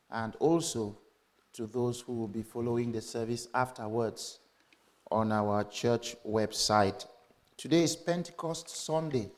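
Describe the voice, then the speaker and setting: A man speaking as a church minister leading a service, with measured, formal and deliberate phrasing. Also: medium pitch. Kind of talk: church welcome, announcements and scripture reading.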